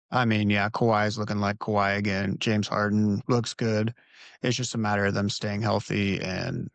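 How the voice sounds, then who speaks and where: A man hosting a casual basketball podcast, talking in a relaxed, conversational way and leading the discussion. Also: fairly low pitch, no room sound. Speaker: podcast host. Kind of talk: casual sports podcast talk. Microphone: home recording setup.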